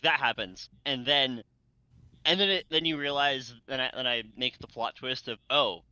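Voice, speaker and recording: A man chatting casually and excitedly, rambling and stammering as he goes and often breaking into laughter. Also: mid-range voice, no room sound, lo-fi sound. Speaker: co-host of a fan fiction readalong. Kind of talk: casual enthusiastic group chat.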